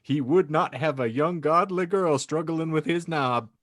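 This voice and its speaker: A man's voice: on the high side, unhurried, a bit bright, no room sound. A man hosting a podcast, narrating fan fiction in a dry, mock-serious way. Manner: dry, mock-serious reading, breaking into laughs.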